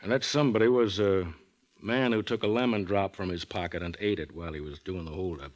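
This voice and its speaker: An actor playing a grave but sympathetic small-town sheriff with measured, folksy delivery in an old radio drama. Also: male voice, low voice, lightly acted. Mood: grave, regretful. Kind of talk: 1950s radio drama acting.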